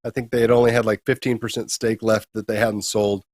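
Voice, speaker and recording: A man's voice: fairly low pitch, level tone, a little fast, a little mellow, no echo. American man hosting gaming news, dry close sound. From United States. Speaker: co-host of a gaming news show. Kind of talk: casual news discussion.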